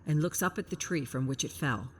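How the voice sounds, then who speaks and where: A woman's voice: low-pitched, fairly quick, poor audio. A woman reading scripted science fiction story narration in the manner of an audiobook.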